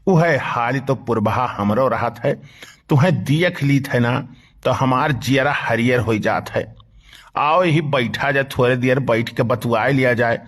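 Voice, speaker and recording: A man's voice: mid-range voice, unhurried, close to an everyday voice. Man playing a grumbling cartoon village husband. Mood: flirtatious. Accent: Awadhi. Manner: sweet-talking, grumbling. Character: flirtatious, hapless village husband, grumbling and scheming. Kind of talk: comic cartoon dialogue.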